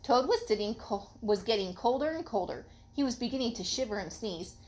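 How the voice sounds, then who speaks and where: Lively woman reading a children's story. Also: fairly high pitch, brisk. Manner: expressive, instructive, acts out the dialogue. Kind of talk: read-aloud children's story with questions to a child.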